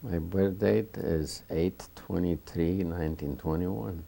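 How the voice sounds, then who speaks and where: A man in his seventies from rural Romania, answering interview questions haltingly, with many restarts. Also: low-pitched, steady tone, gravelly, mellow. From Bukovina, Romania. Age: 70s. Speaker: Holocaust survivor interviewee. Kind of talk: oral history interview.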